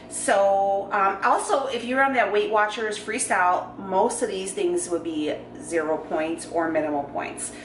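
A woman's voice: mid-range voice, a bit bright. A woman, chatting casually with filler words and self-joking while she cooks in her home kitchen, picked up by a camera mic as she moves around. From United States. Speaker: vlogger. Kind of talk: casual cook-along vlog. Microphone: camera mic, moving about the room. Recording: echoey kitchen with noise.

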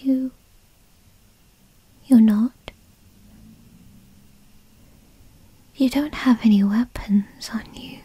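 A high, bright voice of a young fox spirit, played by a woman, skittish and bratty. She speaks slowly in halting, breathy stammers, as in an intimate ASMR roleplay. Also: fairly even intonation, dry recording, exaggerated voice. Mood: startled, suspicious. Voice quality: breathy, often whispery. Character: young bratty female fox spirit, timid but defensive. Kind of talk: ASMR roleplay, scripted character acting.

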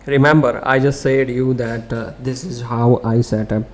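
A man giving a loose, conversational screen-share tutorial on SEO software, with frequent fillers and restarts. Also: medium pitch, steady tone, moderate pace.

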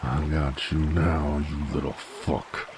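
A gruff werewolf bounty hunter for an audio drama. He drawls slowly in an American Southern accent, folksy and threatening, with a very low, gravelly voice. A stream trickles clearly behind him. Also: flat delivery, noisy background, full character voice. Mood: angry, straining. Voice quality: very deep, gravelly. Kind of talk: roleplay audio acting, drawling cowboy talk. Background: flowing water ambience.

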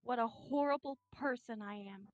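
A woman's voice: on the high side, moderate pace, no room sound, dull audio, close to an everyday voice. A woman voicing a despairing, self-blaming anime schoolgirl, tearful and tender, in a visual novel reading. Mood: guilty, sad.

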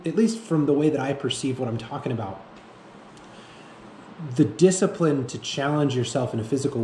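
A man in his thirties speaking off the cuff to camera as a fitness vlogger, haltingly, often repeating himself and searching for words. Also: medium pitch, dark tone. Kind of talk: reflective unscripted monologue to camera. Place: indoor room with bare walls. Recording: echoey room with faint background noise.

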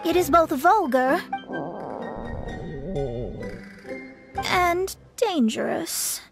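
Young female astrologist, game character, haughty, formal, animated pitch, high voice. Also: slow pace, music in the background, exaggerated voice. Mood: lofty, defensive. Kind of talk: video game voice acting.

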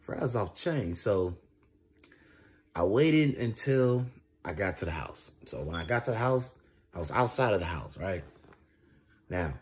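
A man's voice: low-pitched, animated, unhurried, long pauses. A young American man chatting casually in a vlog, telling a story with repetitive, emphatic slang and a conversational delivery. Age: young adult. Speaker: food reviewer. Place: indoors, reclining on a couch. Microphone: phone at arm's length. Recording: clear background noise, home phone recording.

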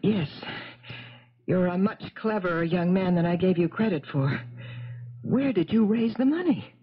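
A scheming wife in a seventies American radio play. She has a husky voice and a dramatic, probing way with her questions. The sound is dull, like an old muffled broadcast. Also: fairly low pitch, animated, a little slow. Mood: cool, conspiring. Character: scheming, cool, well-spoken married woman.

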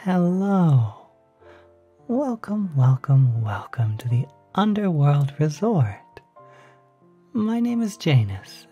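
A breathy, polite demon receptionist acted by a performer in an ASMR roleplay, welcoming a guest with soft salesmanlike reassurance. The delivery is slow and mellow, faintly insidious beneath the courtesy, with music underneath. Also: mid-range voice, muffled recording, exaggerated voice. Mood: welcoming delight.

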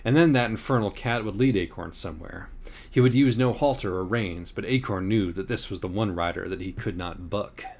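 Low-voiced man reading an audiobook. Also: fairly quick, darkish tone. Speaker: audiobook reader. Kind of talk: scripted audiobook narration.

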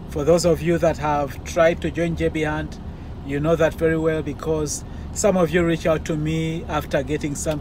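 A man, around his forties, talking casually and explaining things to viewers like a vlogging driver. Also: a little high. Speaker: truck driver vlogger giving advice. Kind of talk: casual vlog talk to viewers. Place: mostly inside a truck cab, some outdoors. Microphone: phone or camera at arm's length. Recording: noisy handheld recording with vehicle ambience.

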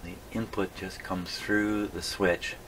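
A man's voice: deep voice, little pitch movement, slow pace, a bit bright. A man giving a casual hands-on demonstration, thinking aloud and muttering to himself as he works. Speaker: host of a radio repair channel. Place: small indoor radio workshop. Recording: distant mic, clear background noise.

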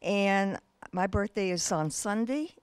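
A woman's voice: mid-range voice, expressive, takes it slowly, clear, resonant tone, no echo. A woman in her nineties introducing herself plainly. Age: 90s.

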